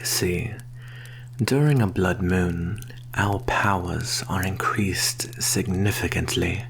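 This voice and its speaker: A man playing a sly, menacing vampire in an ASMR roleplay, speaking in a soft, breathy half-whisper with slow, formal phrasing. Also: sits low, full character voice, low-quality recording. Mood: smug. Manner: slow, deliberate, formal wording. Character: predatory male vampire, sly, coaxing and quietly menacing. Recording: clear background hum and noise.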